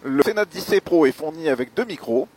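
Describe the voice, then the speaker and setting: A man giving a spoken gear review as a motovlogger, explaining things in a measured, hesitant way with pauses. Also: fairly high pitch, expressive, a little mellow, slightly rough. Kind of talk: spoken product review while riding.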